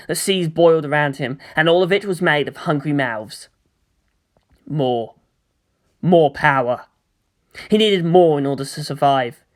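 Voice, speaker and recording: A narrator reading scripted fiction aloud in a dramatic storytelling style. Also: medium pitch.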